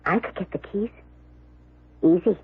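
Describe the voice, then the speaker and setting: A woman's voice: lowish voice, a little slow, husky. A woman acting a jaded, blunt, defiant character in an old radio drama. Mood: sly, cool. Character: jaded, defiant, frank woman with tough edge. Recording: muffled, narrow-band old radio broadcast.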